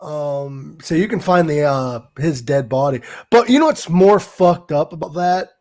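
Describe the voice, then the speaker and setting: A man's voice: on the high side, expressive, a little slow. A man hosting a casual podcast, chatting and correcting details with a fussy, talkative manner. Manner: chatty, fact-correcting, laughs at dark humor. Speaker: podcast host.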